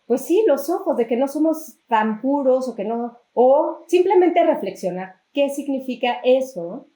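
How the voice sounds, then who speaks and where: A woman's voice: sits high, expressive, a little slow, dark tone. A woman with a Mexican accent hosting a podcast, explaining things conversationally with strings of examples. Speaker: podcast host. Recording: roomy, echoing sound.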